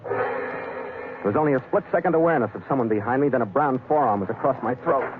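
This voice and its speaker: An actor playing a tough, weary sea captain who narrates in terse, dry, hard-boiled 1940s radio style. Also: male voice, medium pitch, fairly quick, background noise, natural voice. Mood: grim narration. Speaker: lead actor and first-person narrator. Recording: tinny vintage radio recording, loud music.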